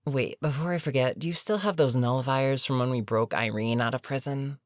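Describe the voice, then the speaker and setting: A voice actor playing an older, experienced villain in an audio roleplay, weary and dryly sarcastic but reluctantly protective, with a wry, deliberate delivery. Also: medium pitch, no room sound, studio quality, lightly acted. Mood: exasperated, wry. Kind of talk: intimate audio roleplay monologue.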